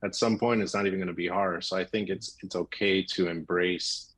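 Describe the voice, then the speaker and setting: A man with a very deep voice and an American accent talks in a casual interview. He is a film director answering in a conversational way. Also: fairly even intonation, brisk, fairly bright tone, husky. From Miami, United States.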